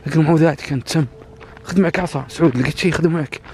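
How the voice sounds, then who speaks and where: A young man filming a night vlog outdoors on a phone, speaking in hushed, tense tones and murmuring prayers between orders. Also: on the high side, rapid-fire, a little hiss or hum. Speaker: vlogger. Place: outdoors at night, abandoned cemetery.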